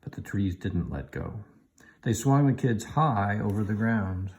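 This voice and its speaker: A man reading a children's storybook aloud in an animated narrating style. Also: on the low side, unhurried, background noise. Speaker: elementary teacher reading aloud.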